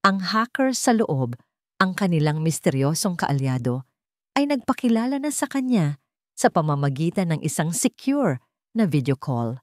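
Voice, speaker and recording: A woman narrating a scripted romantic drama story in a dramatic, measured storytelling manner with emphatic short phrases. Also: low-pitched, big pitch swings, no room sound, clean studio sound.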